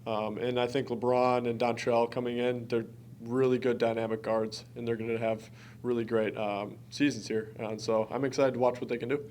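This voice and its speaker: A man's voice: medium pitch, flat delivery, normal speed, darkish tone, slight background noise. A young man from Wisconsin, a college basketball player, answering press conference questions in an earnest, informal way. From Wisconsin, United States. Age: early twenties.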